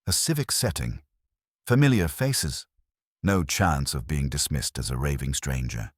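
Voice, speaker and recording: Man playing a deep, methodical English police detective. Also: animated, dry recording, studio quality, heavily acted. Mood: deliberate, thoughtful. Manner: measured, probing, deliberate. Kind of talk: dramatised mystery audiobook.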